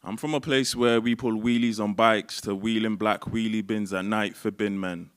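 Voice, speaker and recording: A man's voice: lowish voice, steady tone, moderate pace, clear, resonant tone. Man performing spoken word. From Manchester, England. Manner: rhythmic, declamatory repetition. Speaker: performance poet. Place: stage at a live event.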